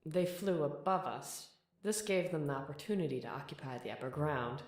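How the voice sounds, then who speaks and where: A woman's voice: low-pitched, normal speed, close to an everyday voice. A woman playing a young female pegasus underling in an audio drama, earnest, deferential and carefully explanatory, in a roomy echo. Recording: roomy echo with faint music.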